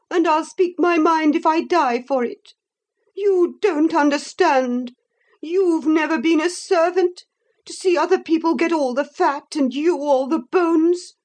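A woman's voice: sits high, a little mellow, voice pushed up. A woman voicing a bitter, defiant former servant woman who speaks her mind scornfully. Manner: scornful, fierce, tearful outbursts.

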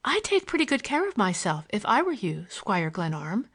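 A woman voicing a bold, teasing young woman in an audiobook, with a saucy, brisk manner. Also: mid-range voice, very animated, fairly bright tone, husky, exaggerated voice.

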